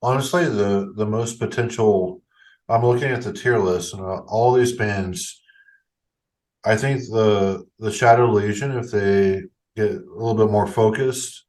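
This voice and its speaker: A man's voice: on the low side, steady tone, measured pace, darkish tone. A man with a slightly nasal voice hosting a casual podcast and wrapping up the episode. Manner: easygoing, casual, wraps up the show. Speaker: podcast host.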